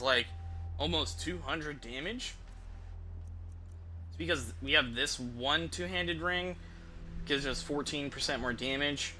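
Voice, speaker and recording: A man doing casual commentary for viewers on a game stream, thinking out loud as he goes. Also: fairly high pitch, a little hiss or hum. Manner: thinks aloud, hums while deciding.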